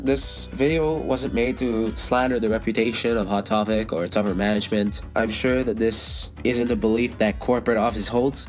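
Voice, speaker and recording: A young man with a cold and a stuffed-up voice, telling a story in a casual vlog with dry sarcastic asides. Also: mid-range voice. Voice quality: stuffed-up nasal voice. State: has a cold. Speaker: vlogger.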